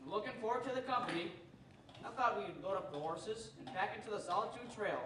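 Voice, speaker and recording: Folksy, kindly outdoorsman dad played by a young man, radio play, echoey room with clear background noise. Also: sits high, a little fast, lightly acted. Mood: kindly, easygoing. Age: young adult. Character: folksy, kindly game warden father. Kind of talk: stage radio-show acting. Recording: echoey stage, distant mic, clear noise.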